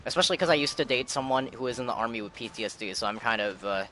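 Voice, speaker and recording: A man's voice: medium pitch, lively intonation, rapid-fire, bright, forceful tone. A man livestreaming a game with casual, playful, chatty reaction commentary. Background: game music.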